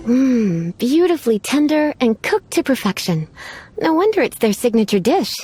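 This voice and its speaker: A woman's voice: high voice, sing-song, moderate pace, a little mellow, husky, heavily acted. An actress playing a flirtatious, teasing witch librarian with a coy, genteel manner in a video game. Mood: teasing, indulgent. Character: flirtatious, languid adult witch librarian, teasing, quietly menacing. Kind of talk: video game character acting.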